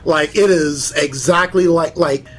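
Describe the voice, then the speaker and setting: A man chatting casually as a panelist and video game fan, animated and repeating phrases for emphasis. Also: fairly high pitch, unhurried, faint music behind. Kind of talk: casual chat.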